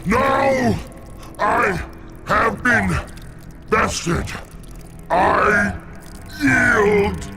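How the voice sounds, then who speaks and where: A gluttonous, craven demon from a video game. He drags his words out very slowly in a crackling, gravelly voice. He blusters and begs theatrically over a musical score. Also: high voice, exaggerated voice. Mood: panicked pleading. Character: gluttonous, cowardly male demon, pleading and blustering. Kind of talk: video game villain voice acting. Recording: music under the voice.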